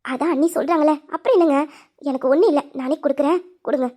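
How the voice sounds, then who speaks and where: A woman acting a gentle, affectionate young wife and new mother in a melodramatic audio drama. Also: high voice, quick pace, lightly acted. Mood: reassuring.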